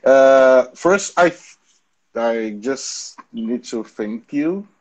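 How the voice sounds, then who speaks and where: A man in his forties with a Brazilian accent, a native Portuguese speaker, hosting a casual online interview in a halting way with repetitions. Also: medium pitch, animated, dull audio. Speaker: interview host. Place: home balcony.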